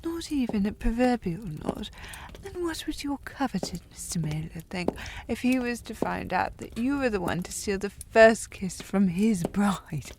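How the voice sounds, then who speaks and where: A woman's voice: medium pitch, animated, unhurried, a little mellow, a little hiss or hum. Woman playing a haughty, tipsy young noble lady. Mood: indignant, scolding. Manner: haughty, prim formal diction, slightly tipsy. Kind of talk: historical romance audio roleplay, intimate one-sided dialogue.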